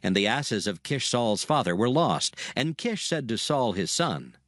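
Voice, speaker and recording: A man reading scripture narration in a measured, formal manner as storyteller. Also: mid-range voice, big pitch swings, fairly bright tone, no echo, clean studio sound. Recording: faint music behind the voice.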